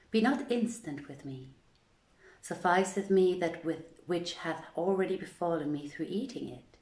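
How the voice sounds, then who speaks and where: A woman reading an old literary tale aloud in a deliberate, theatrical storytelling manner with measured phrasing. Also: fairly low pitch, dark tone. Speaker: storyteller, reader. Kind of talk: dramatic scripted storytelling, archaic literary narration. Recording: roomy, echoing voice recording.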